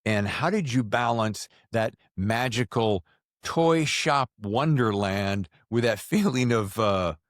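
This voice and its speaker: Animated male podcast host, very lively pitch. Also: slow, no room sound, studio quality. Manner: animated, slangy, acts out reactions. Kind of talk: casual book interview chat.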